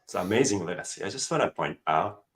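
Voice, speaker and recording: Man, Japanese accent, very low voice, middle-aged, joking about himself, video call. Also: quick pace. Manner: self-joking, animated, hesitant with fillers. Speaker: guest. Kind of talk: casual interview chat.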